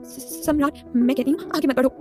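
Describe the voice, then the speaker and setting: A woman's voice: sits high, fairly even intonation, brisk, music in the background, natural voice. A woman voicing a timid, innocent young woman in an audio story, frightened, with a trembling, stammering delivery. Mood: fearful. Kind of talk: dramatised audio story dialogue.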